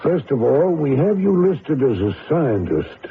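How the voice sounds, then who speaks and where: A tinny vintage radio recording carries a gravel-voiced male federal investigator. He is blunt, suspicious and officious, with a lively swing in his pitch as he questions. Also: measured pace. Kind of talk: old-time radio drama acting. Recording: tinny, noisy old radio broadcast.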